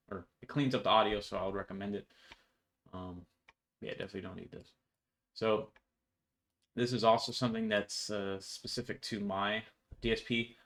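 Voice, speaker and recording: A young man with a slightly low voice talks through a technical tutorial. His delivery is informal and offhand, explaining each part step by step as a programmer would to a peer. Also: fairly bright tone. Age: young adult. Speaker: programmer presenting own project. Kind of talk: casual code walkthrough tutorial. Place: indoors in a home room.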